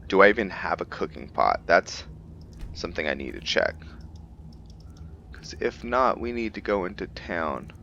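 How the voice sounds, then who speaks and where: Very deep-voiced man, halting gameplay commentary. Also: sing-song, creaky, pushed and bright, dull audio, a little hiss or hum. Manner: thinks aloud, halting, trailing pauses. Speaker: gaming video host. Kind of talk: casual roleplay game commentary.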